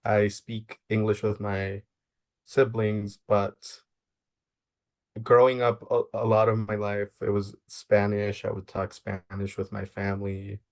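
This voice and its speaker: Young male social worker, flat even delivery, earnest and measured, interview guest. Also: lowish voice. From Maryland, United States. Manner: earnest, measured, thinks aloud. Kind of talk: reflective interview answers.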